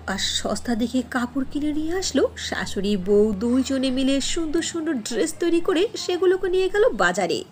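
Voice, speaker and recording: Lively high-voiced woman narrating a story. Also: slightly rough. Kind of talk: scripted cartoon story narration.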